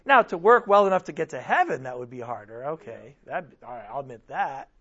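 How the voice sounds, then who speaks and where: A man preaching a sermon with a strained voice, nearly worn out, asking the congregation rhetorical questions and swinging between loud and soft. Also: mid-range voice, animated, fairly quick, muffled recording. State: strained, almost losing his voice. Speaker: pastor.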